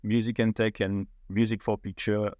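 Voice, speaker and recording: A middle-aged man with a French accent talks in a podcast interview, his voice sitting fairly low. He speaks haltingly, with frequent fillers and restarts. The sound is close and dry, with no room around it. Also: level tone, a little mellow, no room sound. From France. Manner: hesitant, many fillers and restarts. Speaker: startup founder, guest.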